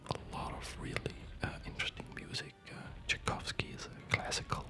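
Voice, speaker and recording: A man whispers breathily and haltingly in an unhurried ASMR chat while playing a game, close to a studio microphone at home. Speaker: ASMR gamer. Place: quiet home at night. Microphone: close studio condenser microphone.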